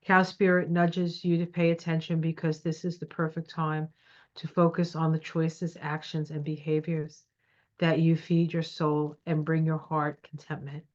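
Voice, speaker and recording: A woman with a slightly low voice and a stuffy nose talks through a tarot reading in an encouraging, reassuring way. She sits at her computer at home, and its microphone gives a dull, lo-fi sound. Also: level tone, measured pace, a little mellow. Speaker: tarot reader. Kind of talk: casual tarot reading to camera.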